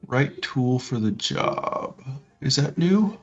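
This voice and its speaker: A man co-hosting a podcast, casually discussing tabletop game rules and haltingly thinking aloud as he reads. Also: mid-range voice, lively intonation. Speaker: podcast co-host. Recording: dull lo-fi audio, music behind.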